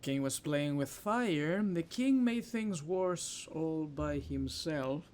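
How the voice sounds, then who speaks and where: A male gamer commentates casually as he plays, thinking aloud in an informal way. His voice sits a little high, with faint noise behind it. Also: expressive, takes it slowly, darkish tone. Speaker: gamer playing through a game. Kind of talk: casual let's play commentary.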